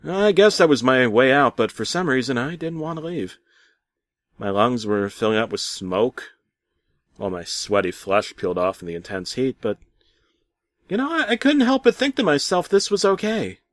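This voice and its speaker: A man narrating a comic first-person horror tale as a storyteller, theatrically shifting between hushed asides and loud exclamations. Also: medium pitch, very animated, moderate pace, dry recording. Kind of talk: dramatic first-person creepypasta narration, comedic.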